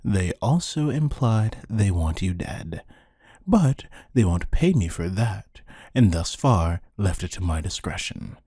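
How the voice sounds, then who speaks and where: Man acting a low, husky, menacing hired interrogator. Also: slow pace, dry recording, clean studio sound, full character voice. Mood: dry, sardonic. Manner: deadpan, condescending, taunting. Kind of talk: ASMR roleplay monologue.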